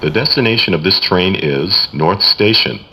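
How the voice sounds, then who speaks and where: A man's voice: low-pitched, animated, normal speed, bright, forceful tone. A man reading automated station announcements in short, formal, clipped set phrases, as a transit announcer. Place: inside a subway train, over speakers. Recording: echoey, noisy subway car recording.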